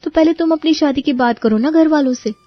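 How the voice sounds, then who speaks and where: A woman's voice: high-pitched, a little fast, lo-fi sound, soft background music, lightly acted. A woman playing an earnest young girlfriend in a cartoon story, pressing for marriage. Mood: earnest, worried. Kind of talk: Hindi cartoon story voice acting.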